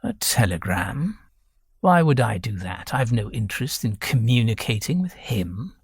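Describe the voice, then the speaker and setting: A man voicing a weary, querulous, ailing old gentleman in an audiobook. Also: mid-range voice, sing-song, normal speed, slightly rough, no room sound, studio quality, heavily acted. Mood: guarded. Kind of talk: audiobook character voice.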